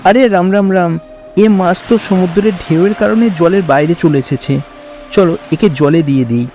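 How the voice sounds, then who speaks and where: A man's voice: sits high, moderate pace, mellow, faint music behind. A man voicing a brave, kind, eager village boy in a fairy-tale cartoon. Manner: earnest, eager.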